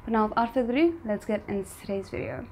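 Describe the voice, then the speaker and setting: Female reaction host, fairly high voice, casual off-the-cuff commentary, thinking aloud. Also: animated, quick pace, mellow, slight background noise.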